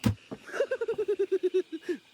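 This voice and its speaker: An actor playing a whimsical, petulant, mad tea-party host with a theatrical, erratic lilt, amid noisy clinking. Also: male voice, extremely high pitch, very slow, full character voice. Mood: whimsical, manic.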